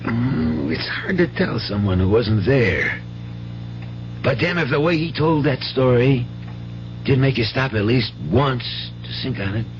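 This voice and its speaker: A hissy, muffled 1970s radio drama recording carries a good-natured country father. He rambles and stammers in a rural American accent, his pitch swinging widely. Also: animated, unhurried. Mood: easygoing. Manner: folksy, rambling, stammering delivery. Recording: dull hissy 1970s radio broadcast with hum.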